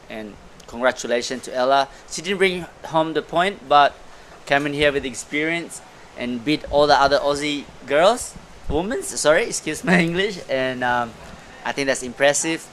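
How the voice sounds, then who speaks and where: A man giving casual, halting, appreciative sports commentary as a guest beside the main host. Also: a little high, a bit bright, slight background noise.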